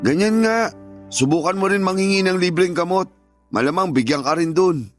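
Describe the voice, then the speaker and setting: Casting: actor as evasive, exasperated husband, slightly high male voice, curt and dismissive radio drama delivery over music. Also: moderate pace, lightly acted. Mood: dismissive, defensive. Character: irritable, evasive, dismissive husband, tired from work.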